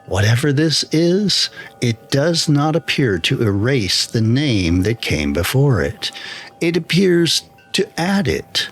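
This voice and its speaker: A man reading a scripted first-person horror story in a measured, deliberate way, with pauses between phrases. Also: medium pitch, big pitch swings, faint music behind. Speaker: storyteller, channel narrator.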